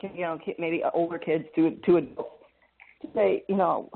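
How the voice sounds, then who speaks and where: Man with a fairly high voice on a phone line. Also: fairly even intonation, normal speed, creaky. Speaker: guest hypnotherapist. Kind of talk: interview over a remote call. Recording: phone-like call line with static.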